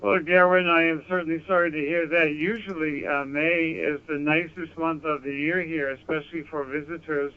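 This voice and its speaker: A man with an American accent talking over amateur radio in a polite, measured, conversational way. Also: a little high, fairly even intonation, clean tone. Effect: radio transmission. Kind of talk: ham radio contact, conversational. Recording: narrow, phone-like handheld radio audio.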